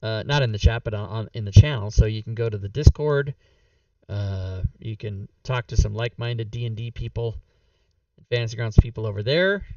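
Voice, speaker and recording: A man's voice: fairly low pitch, normal speed, dry recording, distant mic. A male game master winding down a stream in a loose, rambling way, full of "uh"s, his voice starting to go. His mic sits a bit back from him. The sound is dry and dull. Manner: rambling, relaxed, lots of fillers. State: losing his voice. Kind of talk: casual end-of-stream chat.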